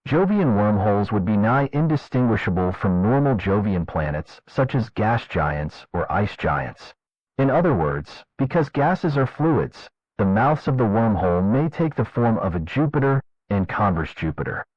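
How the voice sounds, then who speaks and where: A man narrating a fictional scientific government report in a formal, measured, clinical manner. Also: lowish voice, very animated, a little mellow, no echo, faint music behind. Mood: formal, detached. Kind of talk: scripted documentary narration, analog horror. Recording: dull lo-fi narration track.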